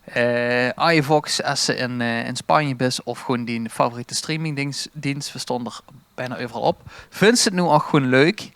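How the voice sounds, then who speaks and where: A man's voice: medium pitch, moderate pace, fairly bright tone, clear, resonant tone, no echo. A man with a Limburgish accent talking casually as a podcast co-host. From Limburg, Netherlands.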